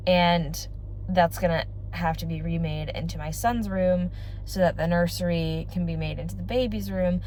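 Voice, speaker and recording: A woman's voice: fairly low pitch, fairly even intonation, normal speed, a little mellow, a little hiss or hum, low-quality recording. A young woman with a congested voice, chatting casually and rambling to her vlog audience. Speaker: family vlogger. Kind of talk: casual chat to camera.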